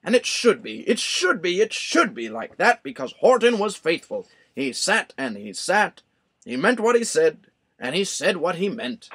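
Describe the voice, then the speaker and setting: A man reading a storybook aloud, voicing an astonished crowd of townsfolk who exclaim excitedly. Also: sits high, a little slow, breathy edge, voice pushed up, exaggerated voice. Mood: exultant.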